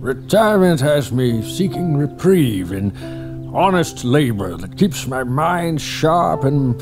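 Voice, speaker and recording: An actor playing a stubborn, grumbling older retired historian, who sighs a lot and talks slowly. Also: male voice, on the high side, big pitch swings, heavily acted. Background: music.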